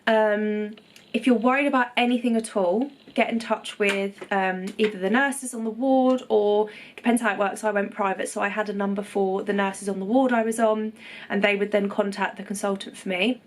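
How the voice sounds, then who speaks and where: A woman's voice: medium pitch, steady tone, normal speed, a little hiss or hum. Congested young woman, chatty vlog. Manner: chatty, reassuring, many ums and self-corrections. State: nasally congested after nose surgery. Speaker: vlogger. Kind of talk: casual recovery vlog to camera. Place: home.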